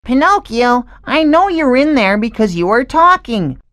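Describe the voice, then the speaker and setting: A woman voicing an anxious, pushy young boy in an animated children's story, urgent and insistent. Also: fairly high pitch, measured pace.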